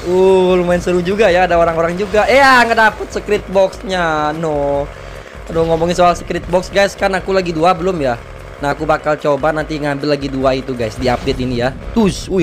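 A young man with a slightly hoarse voice, giving casual, excitable gameplay commentary full of slang and exclamations. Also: high-pitched. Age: young adult. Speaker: gaming video creator.

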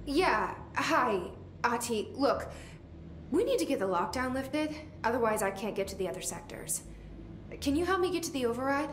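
Higher-voiced young game heroine. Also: female voice, sing-song, moderate pace, echoey room, slight background noise. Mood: pressing. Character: polite, businesslike young woman, a game heroine. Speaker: protagonist speaking aloud. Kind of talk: video game dialogue.

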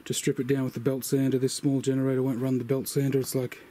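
A man's voice: mid-range voice, fast, slight background noise. A man casually narrating his off-grid building project outdoors, easygoing with plenty of ums. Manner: relaxed, easygoing, lots of ums and yeahs. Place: outdoors in bushland and a work shed.

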